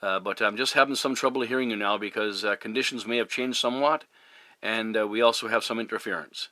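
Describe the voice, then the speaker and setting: A middle-aged man from Newfoundland, Canada, chatting casually as a CB radio operator, courteous and halting in his phrasing. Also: lowish voice, steady tone, background noise. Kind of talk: casual radio contact chat. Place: at a CB radio station. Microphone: radio microphone.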